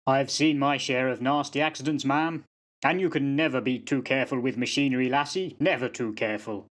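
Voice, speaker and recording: An actor playing a courteous, gruff old sergeant major in a radio play, telling things with weighty repetition. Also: male voice, mid-range voice, steady tone, brisk, a bit bright, close to an everyday voice. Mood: ominous, grave. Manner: portentous storyteller, repeats phrases for emphasis.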